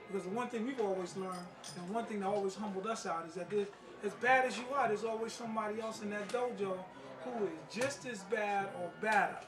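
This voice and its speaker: A man's voice: high-pitched, fairly quick, music in the background. A middle-aged man speaking informally and emphatically, in a plain-spoken, street-wise way. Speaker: playwright and martial arts master. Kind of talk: informal spoken interview and presentation. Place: indoor gathering at a play reading. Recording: roomy, distant mic, people in background.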